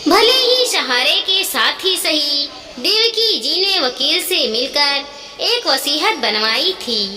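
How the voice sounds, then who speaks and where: A woman narrating a scripted moral story in an expressive, earnest way. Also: high voice, slow pace. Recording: echoey reverberant sound, clear background noise.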